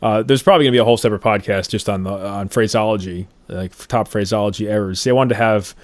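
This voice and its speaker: A man from upstate New hosts a podcast in loose, joking chat. His voice sits low and he talks quickly. The sound is dry and close to the mic. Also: dry recording. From upstate New York. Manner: joking, rambling, quick-talking. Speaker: podcast host.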